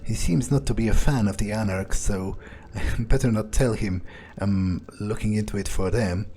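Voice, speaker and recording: A man doing in-character commentary for a video game playthrough, speaking in a hesitant, low-key, musing way. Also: normal speed, warm tone, a little hiss or hum. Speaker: gaming video creator role-playing the player character. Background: game music.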